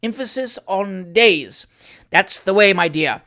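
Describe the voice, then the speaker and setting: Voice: mid-range voice, expressive, slow, bright, forceful tone, heavily acted. A reader voicing a jovial, rich old uncle in a storybook, declaiming and correcting with great emphasis. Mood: hearty, emphatic.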